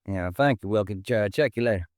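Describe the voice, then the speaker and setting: An American actor playing an easygoing police detective with informal, folksy talk in a 1950s radio crime drama. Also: male voice, fairly low pitch, quick pace, darkish tone, dry recording, clean studio sound, lightly acted. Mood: conversational. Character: male police detective, the sergeant's easygoing loyal partner.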